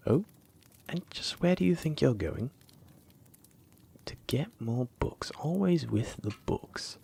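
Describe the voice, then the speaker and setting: A man's voice: on the low side, a little mellow, full character voice. A man playing a flirty, teasing dragon-blooded librarian in a roleplay audio drama, coy and persistent, lingering on his phrases. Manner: coy, teasing, drawn-out phrases with breathy asides.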